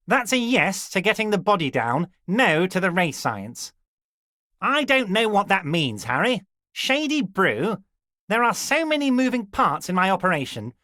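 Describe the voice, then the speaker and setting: A man's voice: high voice, bright, forceful tone, dry recording, studio quality, heavily acted. A man playing a smug, scheming, jovially corrupt union boss in a video game, with an unctuous, falsely friendly, theatrical delivery. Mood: smug, feigned courtesy, then jovial, conniving. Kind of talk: video game character dialogue.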